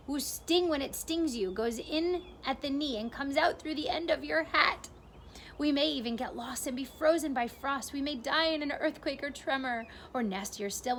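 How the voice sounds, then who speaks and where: A woman reading aloud and voicing an excitable, boastful male centipede reciting in a sing-song rhyme. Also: high-pitched, slight background noise, pitched above the performer's own voice.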